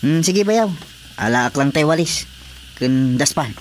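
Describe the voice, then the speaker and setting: An actor playing an eager, hesitant young brother-in-law in an Ilocano radio comedy drama, with an Ilocano accent. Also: male voice, fairly high pitch, lively intonation, a little slow. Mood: obliging, sheepish. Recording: faint background noise with crickets.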